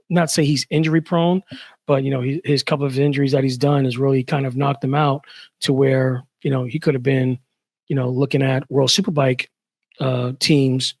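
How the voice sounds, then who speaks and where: A man's voice: mid-range voice, creaky, no room sound. A man from the Bronx, a guest on a casual podcast, who talks in a chatty way with lots of "you know" and "uh". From the Bronx, New York. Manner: chatty, fills his talk with "you know" and "uh".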